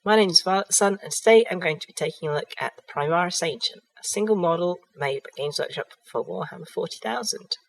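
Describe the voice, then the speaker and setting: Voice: medium pitch, quick pace, gravelly, hardly any pauses, rough recording. A miniatures hobbyist who has a cold. They narrate a product review hesitantly, with frequent ums and restarts.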